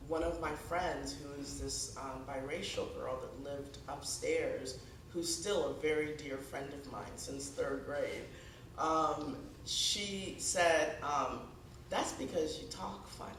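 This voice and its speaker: Echoey, gravelly-voiced woman telling stories. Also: low voice, takes it slowly. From born in Ghana, moved to the US at six. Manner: animated storytelling, acts out dialogue. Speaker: guest speaker, author. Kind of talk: conversational public talk with anecdotes. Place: high school event space, indoors. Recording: echoey room, distant mic, background noise.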